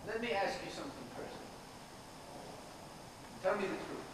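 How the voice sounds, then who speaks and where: Man playing a solemn old grandfather, lively pitch, echoey. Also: normal speed. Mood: probing. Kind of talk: amateur stage play acting. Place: theater stage, far camera. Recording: distant, very echoey noisy stage recording.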